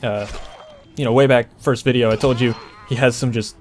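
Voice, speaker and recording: A man's voice: medium pitch, fairly even intonation, moderate pace. A man doing casual, conversational gameplay commentary, explaining things as he plays, over game ambience. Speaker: gameplay walkthrough host. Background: video game ambience.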